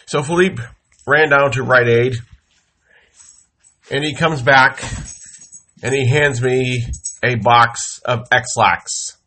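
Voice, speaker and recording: A man with a head cold hosts his show from a small studio and takes his time with each sentence. His tone is bright and forward, and he moves between wry deadpan and bursts of comic exasperation. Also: medium pitch. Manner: slow, wry deadpan storytelling with exasperated outbursts. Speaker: podcast host. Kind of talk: comic monologue. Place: home studio.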